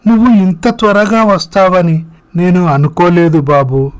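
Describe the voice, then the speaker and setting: A man's voice: high-pitched, fairly even intonation, measured pace, low-quality recording. A man voicing a concerned, affectionate older father in a dramatized moral story.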